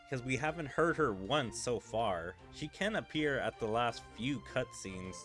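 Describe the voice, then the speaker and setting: A man doing casual gaming commentary as a streamer, thinking aloud in a musing, speculative way. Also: mid-range voice. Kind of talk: casual commentary, reading game dialogue aloud. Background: game music.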